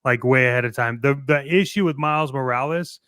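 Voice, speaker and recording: Smooth, clear-voiced man chatting casually. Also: mid-range voice, fairly bright tone, no echo, studio quality. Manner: halting, repeats words, conversational. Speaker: show co-host. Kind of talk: casual chat about toy collecting.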